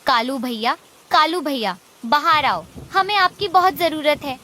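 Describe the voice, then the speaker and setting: A woman voicing a hungry female forest bird, earnest and imploring, in an animated children's story. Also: sits high, measured pace, a little hiss or hum, exaggerated voice. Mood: pleading.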